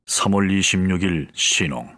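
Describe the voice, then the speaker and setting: A man's voice: sits low, pushed and bright. A man playing a weary, grave French soldier narrating his war diary in a video game cutscene. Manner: grave, measured storytelling. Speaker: campaign narrator.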